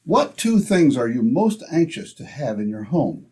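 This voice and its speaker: A man's voice: mid-range voice, big pitch swings, unhurried, a little mellow. A man announcing in old-time radio style, with polished, salesmanlike phrasing.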